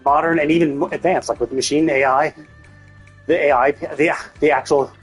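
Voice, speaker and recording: A man's voice: on the high side, fairly even intonation, moderate pace, husky. A man live-streaming a story game, giving casual commentary in a rambling, repetitive, emphatic way. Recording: game music behind the voice. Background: game music.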